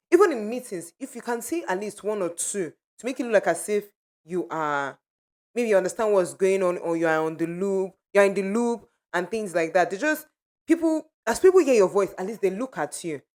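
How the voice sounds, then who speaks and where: A woman co-hosting a casual podcast chat, easygoing and quick to laugh. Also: mid-range voice, darkish tone. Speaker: podcast co-host. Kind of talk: casual chat among friends.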